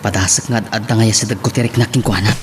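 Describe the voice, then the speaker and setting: An actor playing an earnest, gentle young man in love in an Ilocano radio romance drama. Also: on the low side, fairly even intonation, quick pace, lightly acted. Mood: reflective. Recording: music behind the voice.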